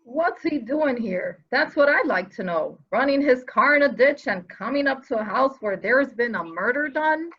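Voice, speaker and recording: A woman's voice: high-pitched, animated, moderate pace, hardly any pauses, breathy edge. A woman playing a dour, opinionated subordinate police officer with a folksy way of talking, in a dramatic reading of a murder mystery. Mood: suspicious. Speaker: actor in a library's dramatic reading. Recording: slightly dull, lo-fi audio.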